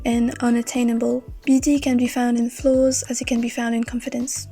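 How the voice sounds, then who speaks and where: Young woman, art vlogger voice-over; soft, mellow, fairly flat delivery, rambling and reflective. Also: on the high side, slightly rough. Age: young adult. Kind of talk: casual voice-over monologue.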